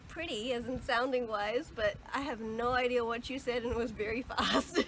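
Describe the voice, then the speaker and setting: A woman's voice: a little high, moderate pace, a bit bright, a little hiss or hum. A woman giving friendly, candid interview answers. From New Zealand. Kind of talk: casual street interview answers.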